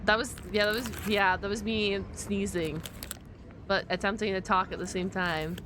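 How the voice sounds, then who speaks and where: A woman streamer giving a casual live reaction, excitable, slangy and freely sweary. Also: medium pitch, moderate pace, heavy background noise. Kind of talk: casual livestream reaction chat.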